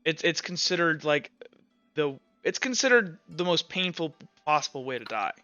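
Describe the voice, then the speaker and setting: A man's voice: fairly high pitch, big pitch swings, normal speed, pushed and bright, no echo, lo-fi sound, soft background music. A man acting as game master, improvising tabletop roleplay narration in a casual, loose way, searching for words.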